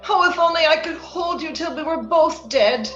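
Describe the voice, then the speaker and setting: Actress as fevered young lady, dreamy romantic radio acting, very high voice, echoey dull recording. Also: moderate pace, a bit bright. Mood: yearning, sorrowful. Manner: dreamy, yearning, theatrical. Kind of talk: old-time radio drama acting. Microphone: recorded remotely over video call. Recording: echoey, dull video-call sound, music underneath.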